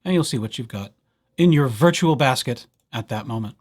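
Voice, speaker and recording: A man presenting a casual software tutorial as a library host, with playful, wry asides. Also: medium pitch, expressive, fairly quick. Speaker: library presenter hosting a digital services tutorial.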